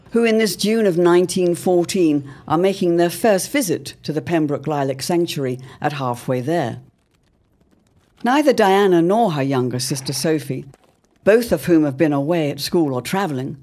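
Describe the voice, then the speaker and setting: A man narrating an old-time serial drama in a theatrical, portentous way with deliberate phrasing. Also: on the high side, darkish tone.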